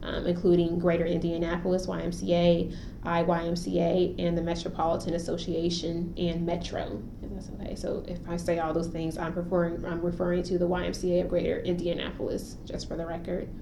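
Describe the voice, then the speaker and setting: Woman interviewer, low flat voice, mellow, reads formal preamble, echoey room. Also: creaky. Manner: reads a formal preamble, then short acknowledgments. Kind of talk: formal oral history interview. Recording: roomy echo, faint noise.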